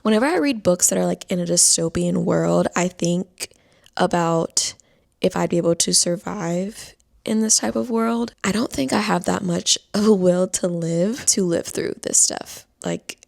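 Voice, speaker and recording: Chatty young woman with vocal fry. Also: on the low side, a little slow, a little mellow. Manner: chatty, often drops to a whisper. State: stuffy-nosed. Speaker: vlogger. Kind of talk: casual vlog chat.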